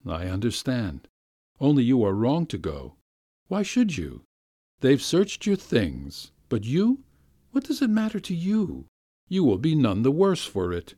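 A man reading aloud voices a weak, irresolute middle-aged gentleman who speaks in a soft, subdued, ingratiating way and keeps pleading. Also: wildly swooping pitch, a little slow, no room sound, studio quality. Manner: pleading, rising to high plaintive appeals.

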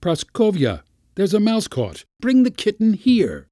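A man's voice: medium pitch, sing-song, measured pace, a little mellow, husky, no room sound, clean studio sound. A man voicing a pompous, short-tempered Latin schoolmaster in a storybook reading, scolding and giving orders. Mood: excited summons. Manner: scolding, commanding, ranting. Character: irascible Latin schoolmaster uncle, pompous and furious.

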